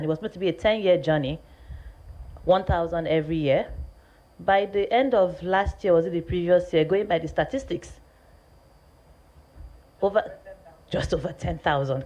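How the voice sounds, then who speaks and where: A woman hosts a formal event in a fairly low voice. She speaks deliberately and with emphasis, as if on stage. The recording is slightly muffled. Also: a little mellow. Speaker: event host introducing a speaker. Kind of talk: celebratory stage speech.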